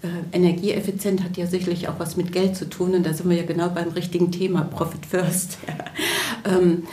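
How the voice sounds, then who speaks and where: A woman's voice: fairly low pitch, level tone, fairly quick, dark tone, echoey room, a little hiss or hum. Chatty German-speaking woman with a cold. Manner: chatty, friendly, many fillers and restarts. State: has a cold. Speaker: podcast co-host. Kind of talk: podcast interview.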